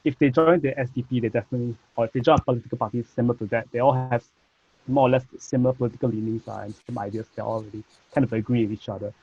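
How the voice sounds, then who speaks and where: A man's voice: medium pitch, steady tone, very fast, a little mellow, husky, no room sound. A man of twenty-four with a Singaporean accent, chatting casually in a group discussion, recorded slightly dull and dry. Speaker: first-time voter and party volunteer on a discussion panel.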